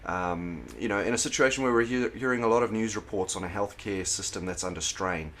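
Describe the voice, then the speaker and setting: A middle-aged South African pastor, talking in a relaxed interview, thoughtful and pausing with fillers and restarts. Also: male voice, on the low side, a little hiss or hum. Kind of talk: casual interview conversation.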